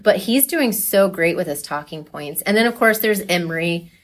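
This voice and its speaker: Chatty, fairly low-voiced woman coach. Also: lively intonation, moderate pace. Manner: chatty, enthusiastic, laughs readily. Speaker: speech team coach being interviewed. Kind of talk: casual interview chat.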